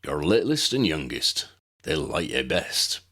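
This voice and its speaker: A man's voice: a bass voice, sing-song, unhurried, bright, forceful tone, husky, heavily acted. A man voicing a big, jovial, rustic gamekeeper teacher in an audiobook, hearty and folksy. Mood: jovial, instructing. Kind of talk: audiobook character voice.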